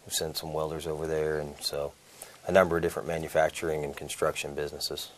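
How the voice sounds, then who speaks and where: A man, a welding teacher answering measured questions in a studio interview on a lapel mic. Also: a bass voice, flat delivery, a little hiss or hum. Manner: measured, with many "uh" fillers. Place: small TV studio.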